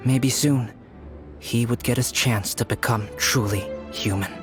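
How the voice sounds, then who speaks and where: Video game alchemist, male actor: young man, mid-range pitch, measured, philosophical, melancholy, music faintly behind. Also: lightly acted. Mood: ominous storytelling. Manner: measured, philosophical, softly formal.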